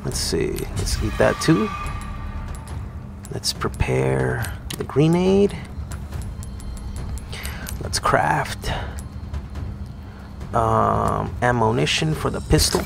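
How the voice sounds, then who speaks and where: A male gamer talks at a slow pace over game music. His delivery is casual and foul-mouthed, full of mocking trash talk and slang, at a middling pitch. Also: lively intonation, gravelly. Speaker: gaming streamer. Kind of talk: casual profane let's-play commentary. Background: video game music and effects.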